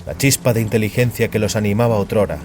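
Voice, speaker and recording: Deep-ish guy reading a dramatic sci-fi story, solemn and measured, music underneath. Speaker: narrator. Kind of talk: dramatic scripted fiction narration.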